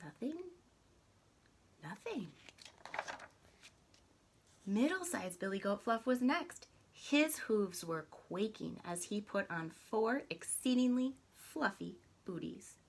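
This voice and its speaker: A woman reading a children's story aloud in an expressive, deliberate, dramatic way. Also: mid-range voice, gravelly, husky. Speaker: storybook reader.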